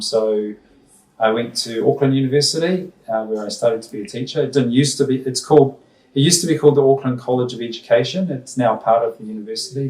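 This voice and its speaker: Kiwi guy, middle-aged principal, lots of ums, distant mic in a roomy space. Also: mid-range voice. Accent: New Zealand. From New Zealand. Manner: thoughtful, hesitant with many ums and restarts. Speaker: school principal. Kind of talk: casual interview answers. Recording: roomy sound from far off the mic.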